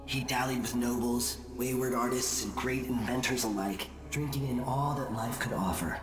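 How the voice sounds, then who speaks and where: An actor playing an enigmatic, detached storyteller, narrating a video game epilogue in a measured, solemn manner. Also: male voice, medium pitch, natural voice. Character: enigmatic, detached male storyteller of an empire's fate. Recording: roomy echo, music behind.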